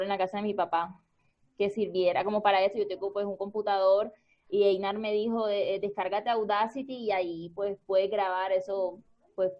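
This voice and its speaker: A woman's voice: medium pitch, highs cut off. A woman who directs and acts, explaining casually with hesitant starts. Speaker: radio theatre actress and director. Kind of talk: casual talk.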